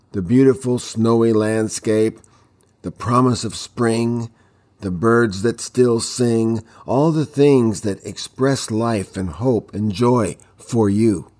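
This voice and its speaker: A man's voice: lowish voice, a little mellow. A man delivering a scripted devotional teaching, exhorting listeners with reflective rhetorical questions.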